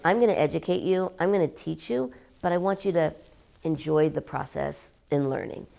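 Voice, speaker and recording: A woman artist talking about her work in an interview, animated and emphatic, repeating words for effect. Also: low voice, normal speed, creaky. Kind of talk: gallery interview.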